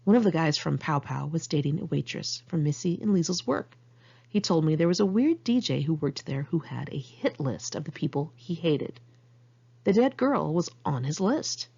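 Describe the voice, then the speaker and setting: Husky, creaky-voiced woman reading her memoir. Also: deep voice, expressive, moderate pace, dark tone. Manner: conversational, candid, slangy. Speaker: author reading own memoir. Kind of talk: audiobook narration of a personal memoir, read in one take. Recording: muffled lo-fi amateur recording.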